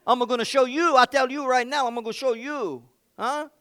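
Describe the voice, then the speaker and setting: A man's voice: very high voice, moderate pace, sharp tone, clean tone, no room sound, studio quality, pitched above the performer's own voice. A man voicing a hot-tempered ancient warrior with a mock Italian accent, taunting and blustering. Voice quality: brash, bellowing, rhetorical "huh?" taunts.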